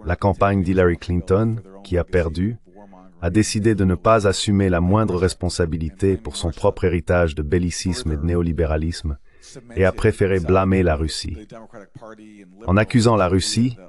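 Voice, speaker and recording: A man's voice: low voice, moderate pace, darkish tone. A man speaking as a panelist, giving political commentary in a translated voice-over.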